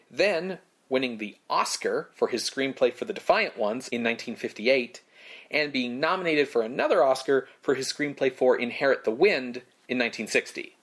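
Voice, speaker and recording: A man co-hosting a podcast, narrating prepared film history in measured phrases with casual asides. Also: medium pitch, a bit bright. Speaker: podcast co-host.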